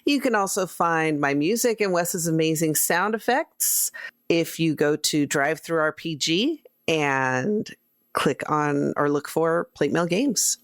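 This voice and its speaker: A woman's voice: low-pitched, expressive, takes it slowly, no room sound, clean studio sound. A woman from a podcast cast, casually telling listeners where to find her online. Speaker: podcast cast member, musician. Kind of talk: casual chat, sign-off.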